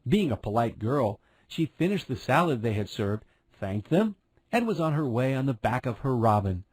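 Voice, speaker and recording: Guy telling a kids fairy tale, kinda husky voice, very dry close sound. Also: mid-range voice, sing-song, normal speed, no room sound, low-quality recording. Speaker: storyteller narrator. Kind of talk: scripted fairy tale narration.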